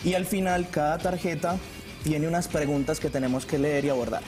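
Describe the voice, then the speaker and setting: A young man from Colombia, a teacher explaining as a studio guest, hesitant and pausing as he goes, on a lapel mic. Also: fairly high pitch, steady tone. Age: young adult. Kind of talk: informal explanation in a TV interview. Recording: music bed behind the voice.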